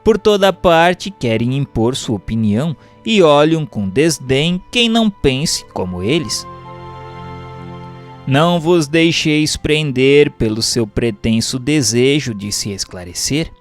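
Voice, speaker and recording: Slow, expressive Brazilian male narrator. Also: medium pitch, faint music behind. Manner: measured, formal, didactic. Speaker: audiobook narrator reading a Spiritist book. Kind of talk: scripted narration, formal book reading.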